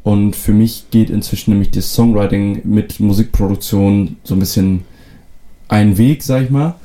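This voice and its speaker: Young male musician, native Frisian speaker, dark, warm, lowish voice, easygoing interview answers. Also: normal speed. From Föhr, northern Germany. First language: North Frisian. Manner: relaxed, many fillers and restarts. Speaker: musician guest.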